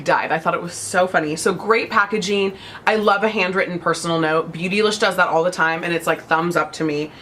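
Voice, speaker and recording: A young woman chats on camera about makeup, bubbly and gushing, with plenty of little fillers. Her voice sits on the low side and sounds a little stuffed up from a lingering cold. A faint hum of noise sits behind her. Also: fairly bright tone. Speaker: beauty vlogger. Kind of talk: casual chat.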